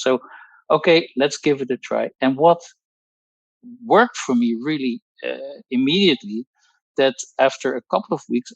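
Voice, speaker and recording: A Dutch man in his sixties, speaking English as a second language, tells his own story as a guest on a video call. He talks with frequent pauses and restarts and uses technical wording. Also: medium pitch, creaky, no echo, muffled recording. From Netherlands. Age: 60s. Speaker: guest, pharmacologist. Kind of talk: personal testimony on a group call.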